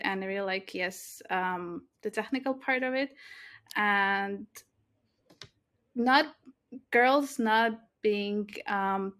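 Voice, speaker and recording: A woman's voice: medium pitch, a bit bright. Young woman, tech guest; earnest, thoughtful, many fillers. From Latvia. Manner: earnest, with frequent fillers and restarts. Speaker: guest, mobile tech lead. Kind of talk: interview conversation.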